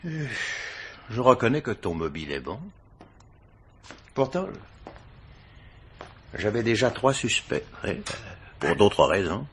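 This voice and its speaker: A man acting a seasoned police chief in a French radio mystery play, paternal and probing, with hesitant, drawling interjections and a gravelly voice. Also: on the low side, very animated, takes it slowly, long pauses, a little hiss or hum. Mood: reasoning.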